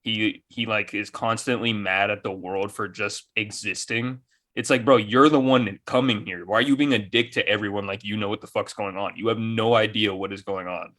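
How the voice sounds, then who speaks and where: A man with a fairly low voice speaks as a co-host in a loose gaming chat. He is enthusiastic and slangy, and he swears freely. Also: steady tone, moderate pace, pushed and bright.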